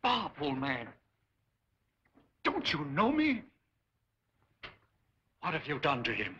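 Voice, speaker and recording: An actor playing a defiant, upright American industrialist held captive in a 1940s film serial, speaking earnestly and emphatically. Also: male voice, on the high side, wildly swooping pitch, slow, bright, forceful tone, breathy edge, heavily acted. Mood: shocked, anguished. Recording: dull 1940s film serial soundtrack, music.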